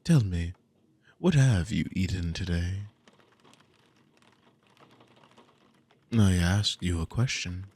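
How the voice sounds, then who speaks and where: A man's voice: low voice, animated, measured pace, a little mellow, husky, full character voice. A man playing a dominant, possessive demon in an ASMR roleplay, mockingly amused and condescending, with teasing, formal, theatrical speech. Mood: stern, commanding. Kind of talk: ASMR audio roleplay spoken to the listener.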